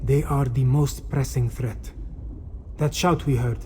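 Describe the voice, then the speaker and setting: A man playing a wary, solemn cat-folk warrior in a video game, speaking in a measured way. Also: medium pitch, steady tone, slight background noise, natural voice. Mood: grave, urgent. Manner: measured, solemn, third-person self-reference. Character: wary, grave cat-folk warrior companion, speaks of himself in third person. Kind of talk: video game character dialogue. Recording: loud music over the voice.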